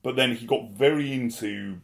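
A man's voice: mid-range voice, moderate pace, vocal fry. A man co-hosting a podcast, talking casually and enthusiastically about albums, with many fillers and restarts. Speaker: podcast co-host. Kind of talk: casual music discussion.

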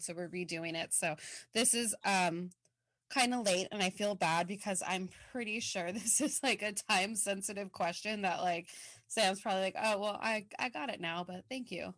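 Woman podcast co-host, casual and rambling, mid pitch, dry close mic. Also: a bit bright, dry recording. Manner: chatty, filler-heavy, laughs easily. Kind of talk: casual podcast chat, reading listener mail.

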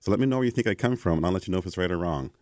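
A Canadian man, a guest in an interview, telling stories in a conversational way and acting out quoted exchanges with lively energy. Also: sits low, rapid-fire, dry recording, clean studio sound. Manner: animated, acts out quoted exchanges.

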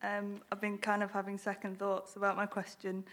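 A woman's voice: mid-range voice, monotone, moderate pace, fairly bright tone. A woman from the audience asking a question, hesitant and shy.

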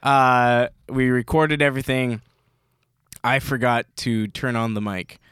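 A man's voice: medium pitch, fairly even intonation, a little slow, a bit bright, clear, resonant tone, dry recording, studio quality. A young man co-hosting a podcast, chatting casually, rambling with restarts and frequent laughs. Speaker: podcast co-host.

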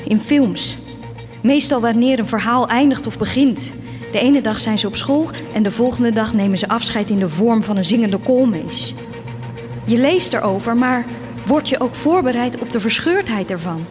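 A woman's voice: fairly high pitch, natural voice. A woman playing a yearning teenage girl who tells her own story in a scripted audio drama. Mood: troubled, questioning. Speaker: narrator and voice actor of an audio drama. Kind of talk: scripted first-person narration, audio drama.

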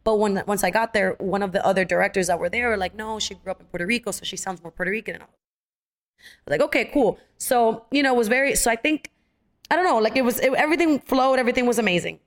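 Young woman talking super fast, acting out quotes, lots of likes and you knows. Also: a little high. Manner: animated, acts out quoted dialogue, many fillers. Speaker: actress guest. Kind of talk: casual storytelling interview.

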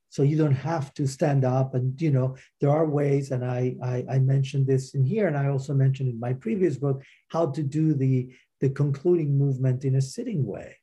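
A middle-aged man, a teacher and author being interviewed, explains his practice informally in halting, circling explanations. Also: medium pitch, dark tone, husky. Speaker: interview guest, meditation movement teacher and author.

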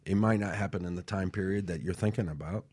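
Deep-voiced man on motivational talk radio. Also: fast talker, darkish tone. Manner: rambling, repeats words, tags lines with "right?". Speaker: co-host. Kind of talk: motivational talk show chat.

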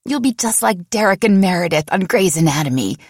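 Woman acting out a high-pitched, gushing romantic girlfriend. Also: animated, a little fast, slightly rough, dry recording, studio quality. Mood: excited. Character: excited, romantic Finnish women friends, gushing and teasing. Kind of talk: audiobook character dialogue.

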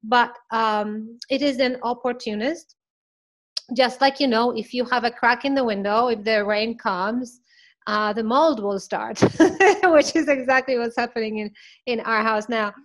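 A woman speaking as an expert guest on a podcast, explaining in a warm manner, her voice slightly scratchy, clearing her throat now and then. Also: fairly high pitch, a little slow. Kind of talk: podcast interview.

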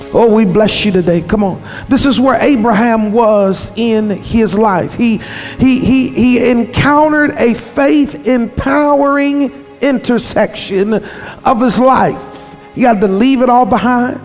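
A man preaching a sermon in an emphatic, repetitive cadence that sometimes slides into half-singing. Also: very high voice. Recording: reverberant hall, faint music behind.

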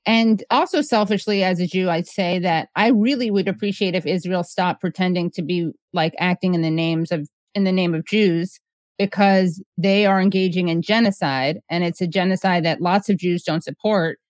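Male analyst guest, emphatic and earnest; high pitch, close and dry. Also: moderate pace, no echo. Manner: earnest, emphatic, occasional restarts. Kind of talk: interview, opinionated commentary.

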